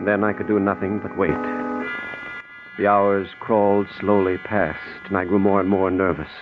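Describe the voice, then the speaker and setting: A man's voice: flat delivery, moderate pace, natural voice. An actor playing an anxious, loyal male companion in a 1940s radio thriller, in a nervous, easily alarmed manner. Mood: tense, recounting. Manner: nervous, pitch leaps in alarm. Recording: tinny old radio recording with music.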